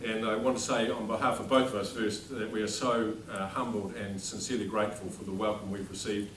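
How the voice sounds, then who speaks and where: A man's voice: fairly low pitch, little pitch movement, a little fast, a bit bright. A middle-aged man with a New Zealand accent, a headmaster giving a humorous, self-deprecating after-dinner speech without a microphone at a formal dinner. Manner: self-deprecating, dry jokes, speaks without a microphone to a room. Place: large dining hall at a formal dinner. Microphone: no microphone, recorded from across the room. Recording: distant echoey hall with background noise.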